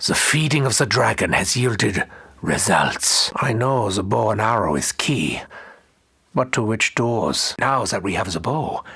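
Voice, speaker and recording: An actor playing a pompous, brooding mad doctor in a video game, with a German accent and a raspy, melodramatic delivery. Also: male voice, lowish voice, animated, a little slow, sharp tone, no room sound, clean studio sound, exaggerated voice. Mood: grave, weary. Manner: pompous, melodramatic, formal wording. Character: brooding, arrogant German mad doctor, theatrical and world-weary. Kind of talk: theatrical video game voice acting.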